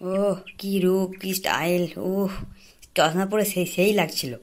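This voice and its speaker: A woman voicing a lecherous, sneaky, boastful young cartoon man with a West Bengal Bengali accent, slangy and excitable. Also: lowish voice, unhurried, noisy background, lightly acted. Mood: leering, scheming. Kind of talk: comic cartoon dialogue.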